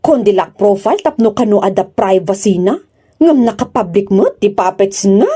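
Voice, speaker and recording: An actress playing a sharp-tongued, indignant young Filipino woman in an Ilocano comedy radio drama. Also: mid-range voice, animated, normal speed, breathy edge. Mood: exasperated, complaining.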